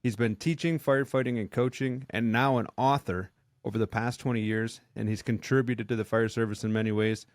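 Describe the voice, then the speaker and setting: A man from Michigan hosting a podcast, asking questions in a relaxed, agreeable way. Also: medium pitch, fairly quick, hardly any pauses, no echo, studio quality. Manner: easygoing, agreeable interviewer. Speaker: podcast host. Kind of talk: casual interview.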